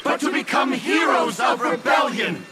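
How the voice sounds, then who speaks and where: An actor playing a fervent heroic rebel in a video game, rallying fighters. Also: male voice, very high voice, expressive, normal speed. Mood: fierce, defiant. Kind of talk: dramatic game voice acting. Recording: loud music and crowd noise.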